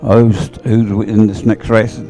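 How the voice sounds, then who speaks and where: A man's voice: on the low side, level tone, takes it slowly, vocal fry, warm tone. A man giving unscripted live commentary at a sporting event, rambling and hesitant with a dry grumble. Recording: live event feed with background music. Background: music playing over event sound system.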